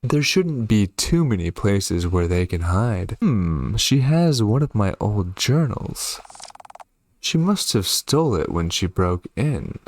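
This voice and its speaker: Guy doing a witch villain in a horror game, polite but menacing, slow n swoopy, music under it. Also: fairly low pitch, sing-song, darkish tone, a little hiss or hum, heavily acted. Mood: cool, calculating. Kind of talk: reading game dialogue in character.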